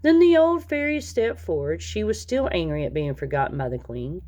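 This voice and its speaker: A woman narrates a children's storybook in a slightly low voice. She reads aloud expressively, putting weight on important words as a storyteller does. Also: big pitch swings, normal speed, mellow. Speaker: storybook reader.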